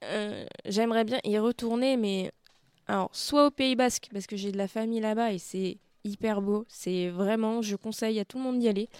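A woman's voice: mid-range voice, moderate pace, darkish tone, smooth, dry recording. A woman in her late twenties, an activity leader at a youth center, answering interview questions playfully with many hesitations. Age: 20s. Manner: hesitant, playful, lots of hmms. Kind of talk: casual radio interview. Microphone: close studio mic.

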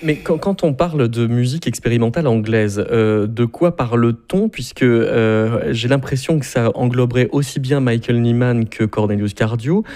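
A man's voice: mid-range voice, normal speed, few pauses, a little mellow. A man interviewing a guest, putting his questions in a hesitant, thoughtful way.

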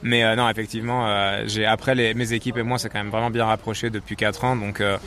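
A man's voice: on the low side, level tone, fast talker, a bit bright. A man from France with a French accent, answering casually and in an explanatory way as an interview guest. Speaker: interview guest, office professional working from home.

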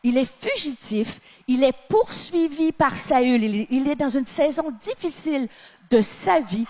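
A woman from Canada with a light Québécois accent delivers a sermon in a high, bright-pitched voice. She leans hard on key phrases and stretches them out, then sinks into softer, hushed lines. She speaks to people in the room and to those watching online. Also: expressive. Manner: emphatic, drawn-out phrases with sudden drops to a soft tone. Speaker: preacher. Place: church with live and online audience.

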